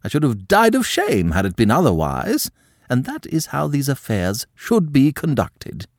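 A man voicing a haughty, prudish aristocratic countess who scolds in a high, shocked voice. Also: huge pitch swings, moderate pace, dry recording, studio quality. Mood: indignant.

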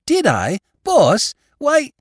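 A man voicing an excitable, slow-witted male crook from the Bowery who talks in tough street slang. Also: on the high side, no echo, clean studio sound, voice pushed up. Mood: eager.

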